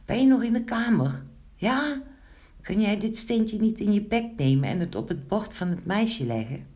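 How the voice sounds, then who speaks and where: A woman voicing a tiny, excitable male gnome in a children's story, exclaiming and pleading. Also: fairly low pitch, a little slow, pitched above the performer's own voice. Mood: eager.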